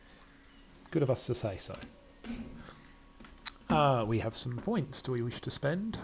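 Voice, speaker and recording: An older man plays a game and comments as he goes. His mid-pitched voice has a gravelly creak. He reads out and muses haltingly. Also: big pitch swings, slight background noise. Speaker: gamer playing and narrating a game. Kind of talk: let's-play commentary, reading text aloud and musing.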